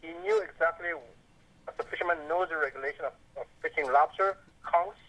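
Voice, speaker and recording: A man's voice: fairly high pitch, huge pitch swings, brisk, creaky, fairly bright tone. A man with a Belizean accent calling a radio show over the phone, stern and emphatic as he complains. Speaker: radio caller, tour guide. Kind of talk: casual phone-in opinion. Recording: dull lo-fi phone line.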